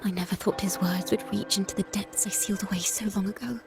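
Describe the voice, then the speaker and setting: An actress voicing a resolute, defiant young heroine in a video game, earnest and dramatic. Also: female voice, medium pitch, steady tone, quick pace, gravelly, loud music behind the voice, lightly acted. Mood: solemn.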